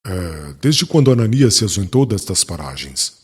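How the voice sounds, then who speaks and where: A man acts out a proud young doctor of the law, wrathful and self-righteous. His fairly low voice rises and falls dramatically in formal audiobook speech. A long reverb surrounds it, with music underneath. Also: big pitch swings, moderate pace, breathy edge, heavily acted. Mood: vengeful.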